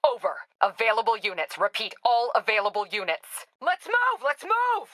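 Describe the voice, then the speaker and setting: A woman's voice: fairly high pitch, sing-song, moderate pace, fairly bright tone, no echo. A woman voicing a tough, no-nonsense female police captain in a video game, speaking in a terse, authoritative, dry manner. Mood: firm, commanding, then urgent shouting.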